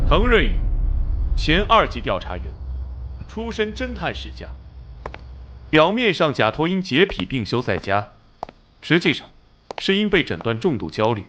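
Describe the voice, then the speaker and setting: An actor playing a stern, hot-tempered police squad captain in an animated drama, speaking bluntly and earnestly. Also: male voice, mid-range voice. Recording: music or noise behind the voice.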